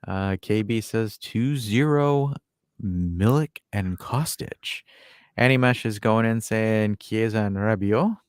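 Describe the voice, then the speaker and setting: A man's voice: lowish voice, slow pace, smooth, no room sound, clean studio sound. A man with an American accent co-hosting a football podcast, joking, teasing himself and reading out fan comments in a casual chat. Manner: joking, self-mocking, reads out fan comments.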